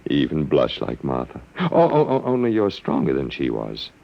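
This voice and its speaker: An actor with an American accent playing an earnest, wry man who coaxes haltingly, in 1950s radio drama style. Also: rumbling low, huge pitch swings, vocal fry, heavily acted. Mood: tender, coaxing. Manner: halting, musing, coaxing. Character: earnest, wry man, last survivor, gently wooing. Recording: muffled vintage 1950 radio broadcast.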